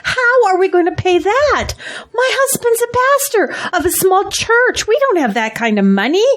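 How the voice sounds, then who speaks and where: Woman acting as fretful pastor's wife, high pitch, swooping intonation, emotional, audio drama. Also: sing-song, moderate pace, a bit bright, full character voice. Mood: distraught. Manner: worried, emotional, questioning.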